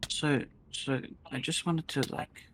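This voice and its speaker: A man telling improvised stories casually, rambling and halting, often repeating his phrases. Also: fairly high pitch, fairly even intonation, noisy background. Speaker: storyteller in a gaming video. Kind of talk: casual improvised storytelling while gaming.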